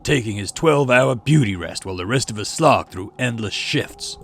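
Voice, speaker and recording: A man's voice: mid-range voice, lively intonation, normal speed, vocal fry, slight background noise. A man voicing a livid male security chief who rants with scorn in a sci-fi game read-through. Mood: livid, scornful. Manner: scornful, forceful ranting.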